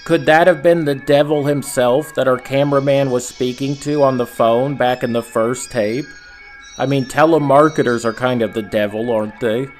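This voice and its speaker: A man narrating scripted horror commentary as a host, in a measured storytelling manner with rhetorical asides. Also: mid-range voice, a little mellow. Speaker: video essay host and narrator.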